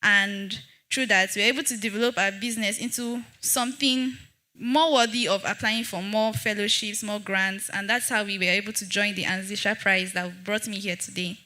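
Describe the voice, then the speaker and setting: A young woman from Nigeria, earnestly telling her founder story as a panelist at a public dialogue, with halting restarts. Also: medium pitch, steady tone, pushed and bright. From Jos, Nigeria. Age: young adult. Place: event hall with audience.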